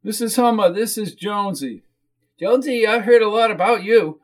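Storybook role: whiny, chatty fifties woman, high-voiced and playfully brash, performed by a male reader. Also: normal speed. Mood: eager, familiar. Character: whiny, chatty, tubby gray-haired woman in her fifties.

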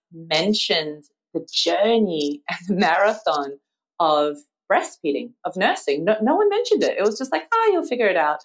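A woman's voice: fairly low pitch, rough recording. A woman hosting a podcast interview, upbeat and laughing often. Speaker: podcast host.

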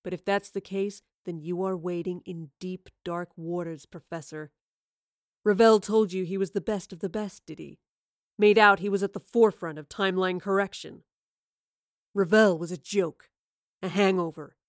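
Woman acting a male villain, quietly menacing, scornful, lowered voice, storybook thriller, dry sound. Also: fairly even intonation, dry recording, close to an everyday voice. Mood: quiet threat. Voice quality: low, hushed. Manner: slow, menacing, contemptuous.

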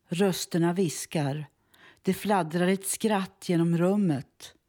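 Low, mellow woman reciting poetry. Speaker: poetry reader.

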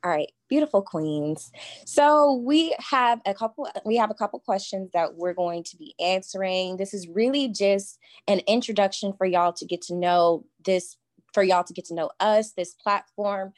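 A young woman hosting a podcast, speaking earnestly and in a measured way, repeating phrases to stress her points. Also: medium pitch, no room sound. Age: young adult. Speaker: podcast host. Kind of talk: podcast introduction.

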